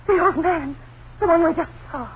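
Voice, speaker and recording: A woman's voice: very high-pitched, moderate pace, crowd noise. An actress playing a frightened, anxious wife and mother, with breathless, pleading delivery in an old-time radio horror play. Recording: tinny, noisy old radio broadcast.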